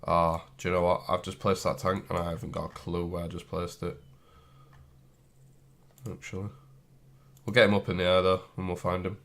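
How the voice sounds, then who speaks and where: Deep croaky guy with a cold, chatting his way thru a game tutorial while he types. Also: flat delivery, moderate pace, long pauses, a bit bright. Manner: thinks aloud while clicking and typing, loose and chatty. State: has a cold, sniffly. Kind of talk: casual screen-recorded tutorial.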